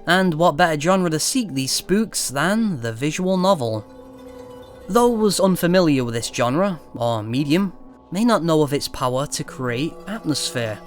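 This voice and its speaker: A man hosting a video review, reading a scripted narration in a measured way with trailing asides. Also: on the high side, expressive. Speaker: video game reviewer, channel host. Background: music.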